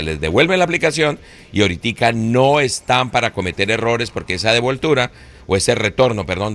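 A man's voice: lowish voice, fairly bright tone. A man giving legal advice to callers on a live radio show, questioning and explaining.

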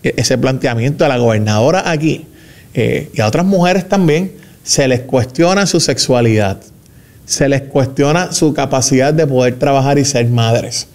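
A young man from Puerto Rico, speaking with a Puerto Rican accent as a government official answering interview questions in a measured way, with fillers and restarts. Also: mid-range voice, a little mellow. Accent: Puerto Rican Spanish. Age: young adult.

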